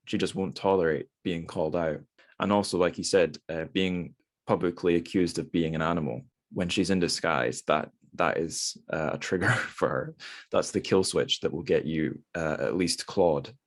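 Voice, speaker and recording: A man hosting a podcast, chatting casually about literature in a rambling, self-deprecating way. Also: low voice. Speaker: podcast host.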